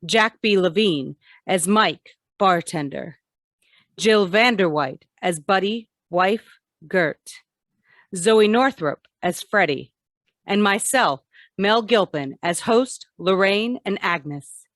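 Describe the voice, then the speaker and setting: Slow-paced woman radio announcer. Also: medium pitch, expressive, fairly bright tone, no room sound, clean studio sound. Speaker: host and announcer. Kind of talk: scripted old-time radio closing announcement.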